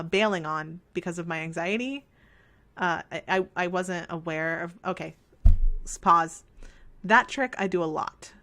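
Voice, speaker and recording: A woman's voice: on the low side, fairly bright tone. A woman with a scratchy voice, chatting casually while playing a life sim game and rambling in a self-deprecating way. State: scratchy voice from talking. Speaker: let's play host. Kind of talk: casual chat over gameplay.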